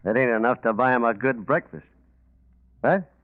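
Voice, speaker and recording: A man's voice: medium pitch, moderate pace, no echo, natural voice. A thin, phone-like vintage recording carries a male actor as a small-time American racketeer. He is a sly, cocky schemer with clipped, slangy talk in 1940s radio drama style, with a dry close sound. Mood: sly, businesslike.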